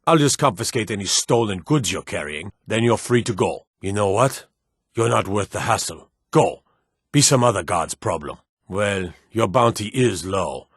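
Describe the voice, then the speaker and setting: A stern city guard from a fantasy video game, with a low, slightly husky voice. He is gruff and authoritative, handing out clipped threats like a weary lawman who could be bought. The recording is dry and close. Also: expressive, normal speed, no room sound. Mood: contemptuous. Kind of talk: scripted fantasy game voice lines.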